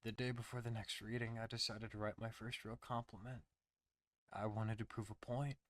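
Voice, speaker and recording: A man's voice: on the low side, little pitch movement, normal speed. A man narrating a scripted first-person horror story on a close mic.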